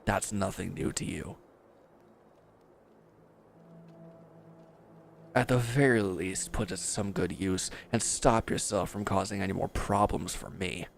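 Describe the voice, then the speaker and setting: A defeated villain played by a male actor in an audio roleplay, wounded and proud. His low voice crackles with gravel as he speaks with resentful, sardonic bite. There is some background noise on the recording. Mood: bitter, taunting. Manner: bitter, sardonic, confrontational. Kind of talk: dramatic audio roleplay monologue.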